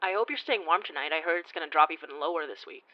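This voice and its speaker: A woman's voice: deep voice, quick pace, highs cut off, lightly acted. A woman playing a friendly, weary investigator of the supernatural, speaking in a casual, conversational, sometimes hesitant way. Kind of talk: naturalistic audio drama acting.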